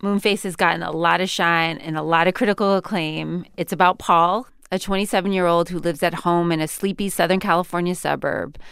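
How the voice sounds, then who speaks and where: A woman's voice: fairly low pitch, fairly even intonation, fairly quick, vocal fry, hardly any pauses, fairly bright tone, clear, resonant tone, no echo, studio quality. An American woman hosting a podcast in a conversational style. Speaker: podcast host.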